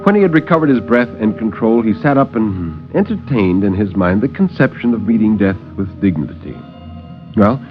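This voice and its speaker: Male narrator for vintage 1950s radio horror; grave, theatrical, widely varied pitch, slightly dull old recording. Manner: measured, grave, theatrical storytelling. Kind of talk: dramatic 1950s radio horror story reading.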